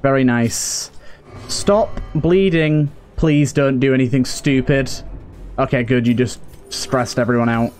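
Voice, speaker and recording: A man's voice: medium pitch, steady tone, unhurried, darkish tone, faint music behind. A man giving casual let's play commentary as a gaming host, talking to himself and to the characters on screen. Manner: talks to himself and to game characters.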